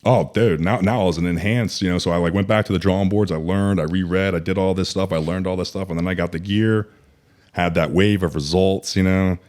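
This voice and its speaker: A man in his thirties talks in a low, deep register. He tells his story openly and casually, with plenty of fillers and false starts. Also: steady tone, brisk, a little mellow. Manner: candid, rambling with many likes and restarts. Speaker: bodybuilder guest.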